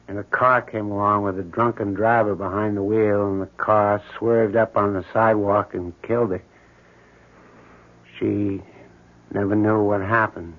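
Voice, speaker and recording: An actor playing an odd, morbid building handyman, matter-of-fact and brooding, in a 1970s radio drama. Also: on the low side. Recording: thin, tinny old radio broadcast.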